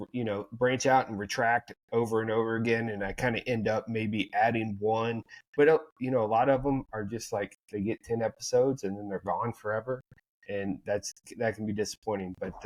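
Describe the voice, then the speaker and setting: A man's voice: fairly low pitch, rough recording. A man co-hosting a casual podcast chat, rambling with lots of fillers and restarts. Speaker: podcast co-host.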